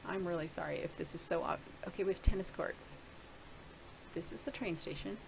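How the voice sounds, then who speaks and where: A woman's voice: fairly low pitch, brisk, creaky, noisy background. A middle-aged woman casually talking through a screen-share video blog, rambling and thinking aloud with many restarts. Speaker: video blogger.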